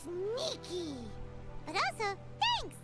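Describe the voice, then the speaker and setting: A woman voicing a tiny, childlike, bubbly and naive game companion with a squeaky, exclamatory delivery. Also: huge pitch swings, slow, full character voice. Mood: cheeky. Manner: exclamatory, refers to self in third person. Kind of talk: video game character acting. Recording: music playing behind the voice.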